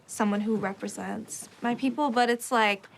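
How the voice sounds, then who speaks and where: A young woman in casual, reflective conversation, halting as she searches for her words. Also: fairly high pitch, steady tone, vocal fry, a little hiss or hum. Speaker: talent show contestant.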